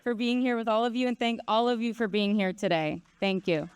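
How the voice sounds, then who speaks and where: A woman's voice: on the high side, fairly even intonation, slight background noise. A woman from the San Francisco Bay Area introducing herself enthusiastically as a panelist on a conference stage. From San Francisco Bay Area, USA. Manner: enthusiastic and quick.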